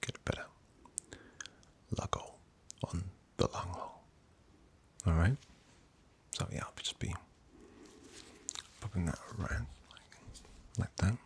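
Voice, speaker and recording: A British man doing a soft-spoken ASMR doctor roleplay, breathy and mostly whispered, with a gentle, reassuring, unhurried manner. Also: sits low. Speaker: ASMR performer playing a doctor.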